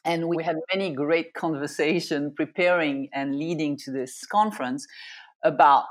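A woman's voice: low voice, moderate pace. Woman interviewer with long, winding questions.

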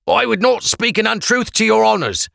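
A man voicing a frightened, pleading merchant locked in a cell, pushing his voice high and strained. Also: lively intonation, normal speed, gravelly, sharp tone, dry recording, clean studio sound, exaggerated voice.

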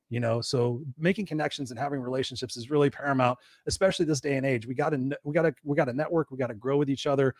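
A man's voice: mid-range voice, a little mellow, dry recording. A man hosting a live online talk show, rambling and upbeat with many fillers. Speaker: host.

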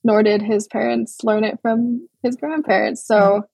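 Woman from Hawaii, young adult, husky and gravelly, flat even tone, dry close sound. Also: fairly high pitch, unhurried, no echo, rough recording. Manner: relaxed, thoughtful, many ums and restarts. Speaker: guest artist. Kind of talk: casual interview over a call.

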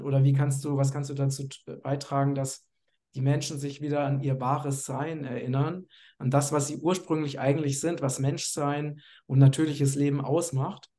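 A man's voice: medium pitch, warm tone. A man in his fifties speaking earnestly in conversation, searching for words with frequent fillers. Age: 50s. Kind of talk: personal conversation.

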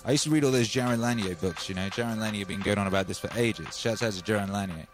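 A man chats casually on his livestream, his voice sitting a little low. He rambles cheerfully, trailing into fillers and shout-outs. Soft music plays faintly behind him. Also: quick pace. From Wales. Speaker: livestream host. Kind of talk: casual chat.

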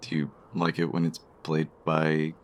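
An actor playing a shy, smitten diner customer in a romance audio drama, speaking in a hesitant, bashful way. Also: male voice, very deep voice, monotone, unhurried. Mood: shy, nervous.

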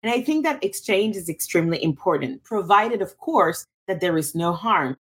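A woman's voice: fairly low pitch, animated, normal speed, fairly bright tone, husky. A woman from Cameroon, a guest in an interview, answering thoughtfully with frequent restarts and fillers.

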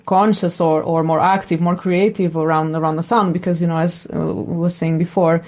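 A woman with a slightly low voice talks casually as an interview guest. She is reflective and tentative, often pausing to find the right word. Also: flat delivery, darkish tone. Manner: tentative, reflective, searching for words. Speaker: interview guest, sound designer.

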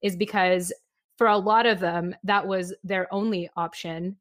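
A woman's voice: mid-range voice, steady tone, unhurried, dry recording. Woman podcast host, thoughtful, kinda rambly, dry close mic. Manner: reflective, rambling with fillers. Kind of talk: reflective podcast conversation.

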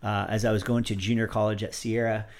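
A man's voice: fairly low pitch, little pitch movement, fast. Man, forties, podcast guest, easygoing, filler-heavy casual talk. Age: 40s. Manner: easygoing, many fillers and uh's. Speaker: podcast guest, gym owner and trainer. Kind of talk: casual interview chat.

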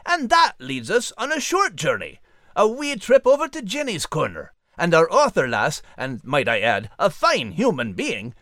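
A man voicing a gruff, grumbling male animal with a Scottish accent and blunt, folksy humor. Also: on the high side, huge pitch swings, moderate pace, sharp tone, dry recording, clean studio sound, exaggerated voice. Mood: wry.

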